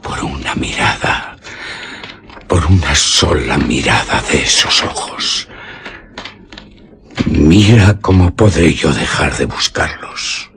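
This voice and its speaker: An ardent young nobleman, low-voiced. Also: background noise, heavily acted. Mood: passionate pleading. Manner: impassioned, slow theatrical declamation. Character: lovestruck young nobleman, ardent, dreamy and obsessed. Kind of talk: Spanish radio drama acting.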